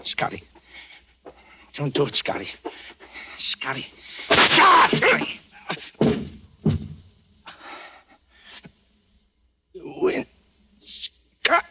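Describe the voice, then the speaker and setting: An actor playing a gloating, slangy gangster who taunts and wheedles in a 1940s radio thriller. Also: male voice, a little high, wildly swooping pitch, very slow, noisy background, exaggerated voice. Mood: pleading, terrified. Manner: taunting, slangy tough-guy talk. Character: sadistic, gloating male gangster who turns wheedling when cornered. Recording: dull old-time radio recording.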